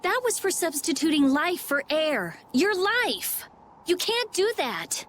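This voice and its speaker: Casting: bossy anime game girl mage, high voice, very animated, emphatic and blunt. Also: slow pace, a little hiss or hum, heavily acted. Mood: curt, urgent. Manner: sharp-tongued, emphatic. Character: brusque, bossy teenage girl mage and researcher.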